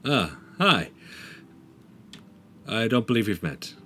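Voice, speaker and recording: A man's voice: fairly low pitch, big pitch swings, takes it slowly, bright, forceful tone, a little hiss or hum, exaggerated voice. A man voicing a courteous, dignified Dragonborn diplomat in a tabletop fantasy game, speaking formally and somewhat hesitantly. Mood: courteous, measured.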